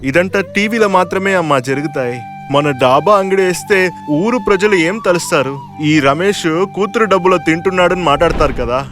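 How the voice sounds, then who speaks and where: A man voicing a weary, worried father, a poor cook, in an animated moral story. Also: sits high, brisk, music in the background, close to an everyday voice. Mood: disapproving.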